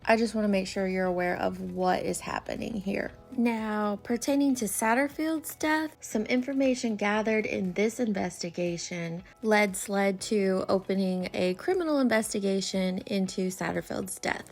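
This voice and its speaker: A woman's voice: medium pitch, normal speed, vocal fry, few pauses, a little mellow. A woman giving casual reaction commentary in a blunt, corrective way. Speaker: commentator reacting to another creator's video.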